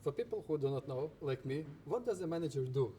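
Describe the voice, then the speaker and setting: A man's voice: fairly high pitch, lively intonation, fast talker, a crowd in the background. Hesitant male podcast host, distant mic. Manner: hesitant questions with fillers. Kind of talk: interview questions. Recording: distant mic with background noise.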